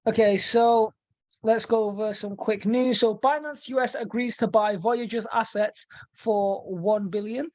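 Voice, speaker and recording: A woman hosting a casual webinar, reading through a quick news roundup and often repeating words while she thinks. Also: a little high, darkish tone, no echo. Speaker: host. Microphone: video call mic.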